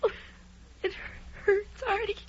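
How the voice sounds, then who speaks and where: Radio drama dying lover, American female: extremely high, breathy, agonizingly slow and halting, muffled vintage sound. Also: flat delivery. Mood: agonized, fading. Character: dying mistress, weak, pained and clinging. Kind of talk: 1950s radio drama acting.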